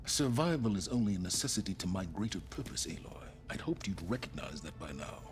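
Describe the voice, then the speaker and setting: A coldly calculating man of learning from a video game, talks in a low voice with strongly varied intonation. He is cynical and condescending, weighing his words over clear background music. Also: expressive. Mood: ominous. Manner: disdainful, condescending, deliberate. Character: arrogant, cynical male scholar, coldly calculating.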